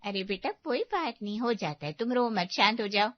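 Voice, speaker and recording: A woman voicing a gentle, calm middle-aged mother in an audio story, comforting her daughter. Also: mid-range voice, moderate pace, natural voice. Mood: soothing. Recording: slightly dull, dry recording.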